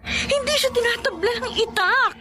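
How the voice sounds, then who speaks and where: A woman's voice: very high voice, normal speed. A woman playing a frightened, anxious wife in a horror radio drama, full of worry. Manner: fearful, worried. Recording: dramatic music behind the voice.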